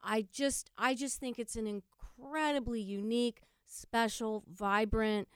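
Female panel guest, raised pitch, crisp tone, halting emphatic casual talk. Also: fairly even intonation, no room sound, clean studio sound. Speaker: podcast guest, stage performer. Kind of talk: casual chat.

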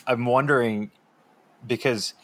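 A man's voice: mid-range voice, fairly even intonation, unhurried, fairly bright tone. A man hosting a podcast, asking long, winding questions with restarts and self-corrections. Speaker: podcast host. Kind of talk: interview questions.

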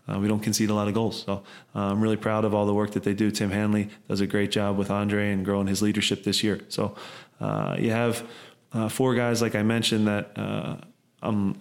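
A man's voice: on the low side, darkish tone. A middle-aged man, a soccer head coach, answering interview questions at length, rambling with many fillers and restarts.

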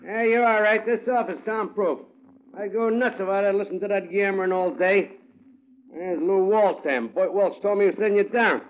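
Guy doing a excitable new agent man, high voice, exasperated slangy radio play acting, thin old 50s recording. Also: normal speed, close to an everyday voice. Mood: harried. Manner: slangy, emphatic, exasperated, broken-off phrases. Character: harried, excitable fast-talking male New York theatrical agent. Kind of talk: 1950s American radio drama acting. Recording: tinny vintage 1950s radio recording.

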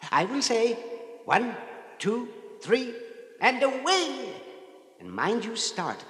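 A sly, elderly little devil of a gentleman calls out in a very high, bright voice. His delivery is extremely drawn out and ceremonious, in 1950s radio drama style, ringing in a long reverb. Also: very animated, full character voice. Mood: ceremonious, commanding. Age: older. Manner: slow, drawn-out ceremonial calling.

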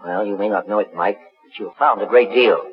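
An actor playing a shrewd, authoritative older private investigator in a deliberate 1940s radio drama style. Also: male voice, on the low side, soft background music, lightly acted. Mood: calm, probing. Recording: dull, husky vintage 1940s radio recording.

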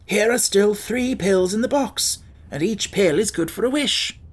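Woman voicing a wry, higher-pitched scarecrow man. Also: animated, unhurried, slightly rough. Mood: protesting. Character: clever, practical, blunt straw scarecrow man, gently teasing.

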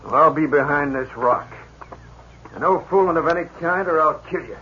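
An actor playing a menacing male outlaw gang leader in a 1950s radio drama, gruff and threatening. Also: on the high side, normal speed, pushed and bright, a little hiss or hum. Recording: muffled old radio broadcast.

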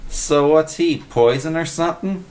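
Whiny, slow-witted male vagrant sidekick played by a man reading, high-pitched, uneducated slang, for a comic short story. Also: a little mellow, close to an everyday voice. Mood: whining, curious. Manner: whining, uneducated slangy talk. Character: dim, whiny, adoring male bum sidekick.

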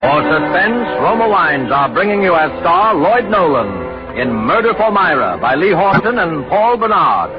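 A man announcing a 1940s radio program in a formal, dramatic and measured style. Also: high voice, sing-song. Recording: muffled 1940s radio recording. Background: loud dramatic music.

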